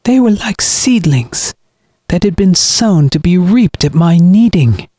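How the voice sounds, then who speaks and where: A man's voice: high voice, lively intonation, takes it slowly, dark tone, no echo, studio quality, voice pushed up, full character voice. A man voicing a cold, mocking, murderous brother who speaks with grandiose piety in an audiobook. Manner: grandiose, sanctimonious, taunting.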